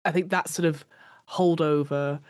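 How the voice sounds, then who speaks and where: A woman with a low voice, recorded dry, plays a tabletop roleplaying game. She speaks casually, feeling her way through loose, hesitant sentences. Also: fairly even intonation, a little slow, dry recording. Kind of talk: casual improvised game talk.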